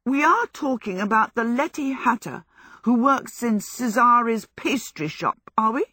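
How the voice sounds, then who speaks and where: Woman voicing a brisk, tart old woman. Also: a little high, expressive, pushed and bright, breathy edge, no room sound, clean studio sound, voice pushed up, heavily acted. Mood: bewildered, questioning. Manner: brisk, exclamatory. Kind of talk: storybook character voice.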